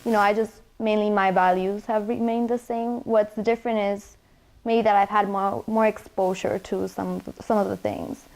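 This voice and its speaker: A young college woman from Ecuador talks easily and conversationally through interview questions, her voice at an ordinary middle pitch. She fills her answers with "you know" as she thinks aloud. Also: steady tone, normal speed, a little mellow, poor audio. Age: young adult.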